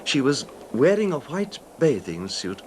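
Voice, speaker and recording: A posh-mannered lonely rich husband, middle-aged, halting and wistful, very expressive pitch, old 70s radio drama with faint hiss. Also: male voice. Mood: nostalgic. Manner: hesitant, courtly, halting with reflective pauses. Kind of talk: 1970s radio drama acting.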